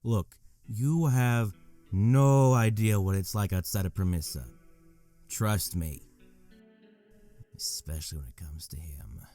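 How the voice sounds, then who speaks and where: A man playing a gruff, weary and reluctant guide in a fantasy visual novel. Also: on the low side, slow, pauses often, warm tone, soft background music. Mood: reluctant, irritated. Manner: grudging, weary.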